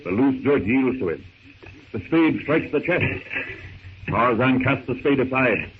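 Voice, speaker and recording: A man's voice: medium pitch, lively intonation, measured pace, narrow, tinny audio, natural voice. A man narrating a 1930s radio adventure serial in a theatrical, suspenseful, declamatory manner. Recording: thin, noisy 1930s radio recording.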